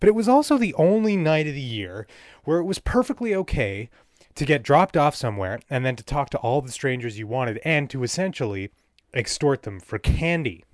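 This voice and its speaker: A man's voice: medium pitch, sing-song, normal speed, dry recording, studio quality. A man hosting a podcast in a casual, conversational way. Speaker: podcast host. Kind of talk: casual interview and opinion rant.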